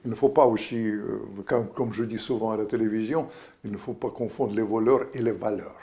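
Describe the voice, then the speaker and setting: A man's voice: lowish voice, steady tone, a little fast, mellow. An older man with Russian-Ukrainian roots, a guest author speaking in an interview, rambling with many restarts and fillers.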